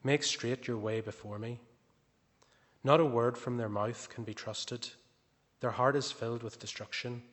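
Brief: man reading scripture slowly, distant in a long church reverb. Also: medium pitch, steady tone, fairly bright tone. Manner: slow, measured reading. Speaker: scripture reader. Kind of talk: Bible reading in a service.

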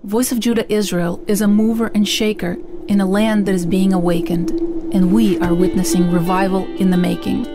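Woman, ministry promo voice-over, even flat delivery, loud guitar bed. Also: medium pitch, normal speed. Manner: steady, declaiming.

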